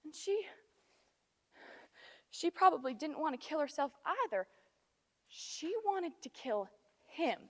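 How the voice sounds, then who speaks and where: Troubled, hesitant Southern wife, played by a teenage female, high-pitched, stage storytelling swelling with emotion, big echoey hall. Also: very animated, takes it slowly, pauses often, exaggerated voice. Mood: desperate, intense. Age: teens. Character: distraught, hesitant Southern wife recounting a violent confrontation. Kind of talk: dramatic stage monologue. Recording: echoey hall with long reverb.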